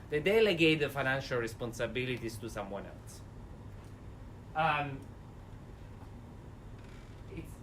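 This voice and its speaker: A man with a thick Italian accent giving an energetic, interactive seminar talk, standing and speaking without a microphone. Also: a little high. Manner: energetic, addresses audience directly. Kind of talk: interactive lecture. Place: university seminar room. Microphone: no mic, standing away from recorder. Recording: distant voice with faint room noise.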